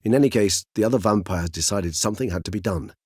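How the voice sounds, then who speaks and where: A man's voice: lowish voice, darkish tone, breathy edge, no room sound, clean studio sound. An actor voicing a cultured older male vampire in a video game, speaking formally and precisely. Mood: inquisitive, earnest. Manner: erudite, formal, measured, wordy phrasing. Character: cultured, mild-mannered older male vampire, learned and courteous. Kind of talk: theatrical video game dialogue.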